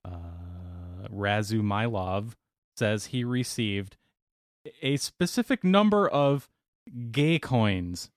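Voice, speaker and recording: A man reads quirky news stories to his co-hosts with a teasing, joking delivery. His pitch swings a lot as he talks. The sound is dry and close. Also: animated, drawn-out words, no echo. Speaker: podcast co-host reading news. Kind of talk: casual podcast chat.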